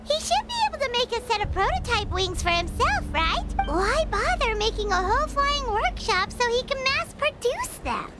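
A woman's voice: very high-pitched, very animated, moderate pace, few pauses. A woman voicing a small, childlike floating fairy companion in a video game, chatty, chirpy and full of wonder. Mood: puzzled. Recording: loud game music underneath.

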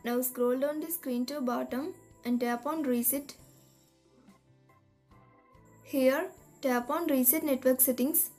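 A woman reading a scripted step-by-step tech tutorial in a measured, instructional manner. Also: high voice, steady tone, warm tone. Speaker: tutorial narrator. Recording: voice-over with music behind. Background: background music.

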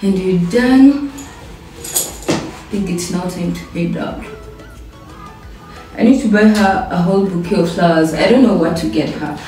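A chatty young woman talks through getting ready, playful and prone to repeating herself. Her voice bounces off hard bathroom tiles and sits back from the camera. Soft music plays underneath. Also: on the low side, a little slow, long pauses. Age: young adult. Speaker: vlogger and home baker. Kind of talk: casual get-ready-with-me vlog chat. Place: bathroom with tiled walls and glass shower. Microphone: camera set back across the room. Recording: echoey bathroom, heard from a distance, soft music.

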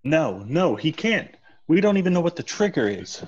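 A man reads an anxious investigator in a comedy script. The voice is fairly high and husky, urgent and insistent, through dull video call audio. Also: big pitch swings, moderate pace. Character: urgent, anxious investigator pressing a dim ally. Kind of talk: amateur table read over video call. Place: home, remote video call.

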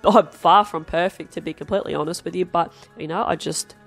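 A woman's voice: fairly low pitch, a little fast, vocal fry, soft background music. An Australian woman with a nasal, stuffed-up voice from a cold, chatting casually and self-deprecatingly as she hosts her art channel. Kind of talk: casual chat while drawing. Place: home desk, indoors.

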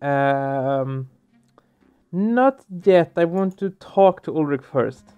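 A man giving casual let's play commentary, halting as he searches for words. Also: fairly high pitch, animated, mellow, smooth. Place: small room at home, indoors. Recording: dull muffled sound, music behind. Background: game music.

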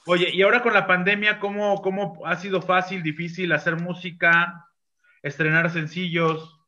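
Male host, 40s, bright somewhat high voice, stumbling informal questions, dull webcam sound. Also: little pitch movement, a little hiss or hum. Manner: halting, restarts questions, informal. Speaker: interviewer host. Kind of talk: casual interview. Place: home room with bookshelves. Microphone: video call webcam.

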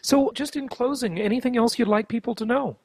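A man's voice: very high-pitched, brisk, darkish tone, dry recording. An American man interviewing a guest conversationally as a podcast host.

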